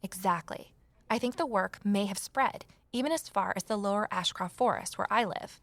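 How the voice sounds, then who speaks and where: A woman's voice: mid-range voice, moderate pace, vocal fry, fairly bright tone, no echo. A woman playing an earnest, anxious amateur sleuth who acts and narrates in an audio drama in a confiding, intense way. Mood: earnest, intent. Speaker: lead character and first-person narrator. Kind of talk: full-cast audio drama acting and narration.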